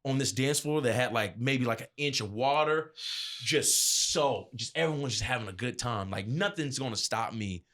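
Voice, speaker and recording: Animated man telling stories casually. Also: medium pitch, normal speed. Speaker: interview guest, event DJ. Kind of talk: casual anecdotal storytelling.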